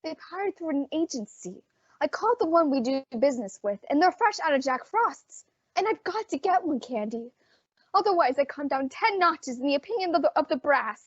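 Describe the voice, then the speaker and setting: Woman playing a harried store executive, very animated pitch, earnest, old radio play style, close dry sound. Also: moderate pace, no room sound. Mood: exasperated, pleading. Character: frazzled department store advertising woman, earnest and dramatic. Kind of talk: old-time radio drama acting. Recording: dry, dull lo-fi audio.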